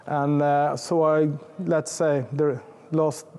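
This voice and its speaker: A man's voice: fairly high pitch, little pitch movement, takes it slowly, mellow, clean tone. A Norwegian man in his fifties with a Norwegian accent, a military veteran speaking hesitantly on a panel. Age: 50s. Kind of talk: hesitant self-introduction on a panel.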